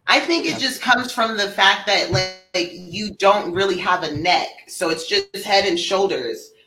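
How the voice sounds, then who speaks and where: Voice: medium pitch, expressive, measured pace, over music. A person chatting casually in a rambling, teasing way. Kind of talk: casual chat. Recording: roomy, echoing sound.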